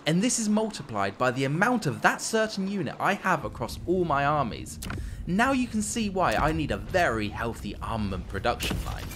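A man presenting a strategy video game in an expressive, scripted storytelling narration. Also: a little high, normal speed, a little hiss or hum. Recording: game music behind the voice. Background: video game music.

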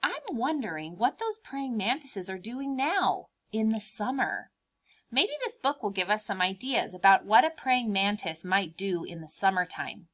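Young adult woman, preschool teacher, bright voice, animated pitch, simple explanations, storytime questions. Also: measured pace. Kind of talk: read-aloud with explanations for young children.

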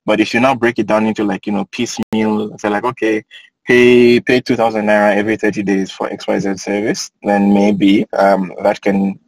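A man in his thirties, a panelist on an online video call from inside a car, talking in a relaxed, thoughtful way with many fillers. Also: lowish voice, moderate pace, no echo, rough recording. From Kenya.

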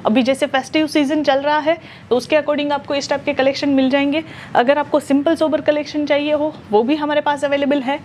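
A young woman presenting clothes in a shop showroom, talking in brisk promotional patter with lots of fillers, on a lapel mic. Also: high-pitched, level tone, darkish tone, slight background noise. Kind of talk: product showcase sales pitch.

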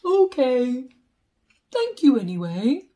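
A woman voicing a big, polite, forlorn bear, with earnest, drawn-out questions, in a children's picture book. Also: high voice, very animated, mellow, exaggerated voice. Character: big polite forlorn bear searching for his lost hat.

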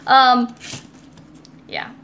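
A woman's voice: very slow, a bit bright. A young American woman chatting casually and giggly as she hosts a cooking live stream and reads comments aloud. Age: young adult. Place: indoors in a home kitchen. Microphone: phone or tablet, a short distance away. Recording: noisy kitchen live-stream audio.

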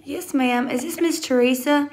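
A woman's voice: sits high, moderate pace, a little hiss or hum. A young woman with a Southern US accent talking casually and politely, recorded on a phone at home. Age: young adult. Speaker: family vlogger making a prank call. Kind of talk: casual, polite phone talk and chat to camera. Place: small room at home. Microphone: phone at arm's length.

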